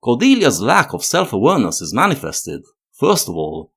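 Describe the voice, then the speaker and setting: A man narrating a scripted analytical video essay in a measured, expressive way. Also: medium pitch, gravelly, husky.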